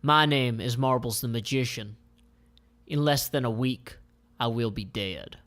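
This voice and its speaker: A man playing a doomed magician who delivers a grim comic-book monologue in a solemn, deliberate, dramatic way. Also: mid-range voice, fairly bright tone, close to an everyday voice.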